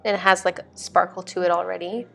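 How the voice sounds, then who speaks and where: A woman's voice: fairly low pitch, flat delivery, brisk, gravelly, sharp tone. A young woman casually narrating her makeup routine in an informal, chatty way. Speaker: vlog host. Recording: music behind the voice. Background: music.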